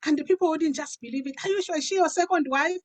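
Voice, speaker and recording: A woman's voice: high-pitched, fast talker. A woman. She gives a personal testimony conversationally, like a pastor sharing her own story. She repeats phrases to drive her points home. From Africa. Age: 50s. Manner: emphatic, repeats phrases for stress. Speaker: pastor giving a health and business testimony.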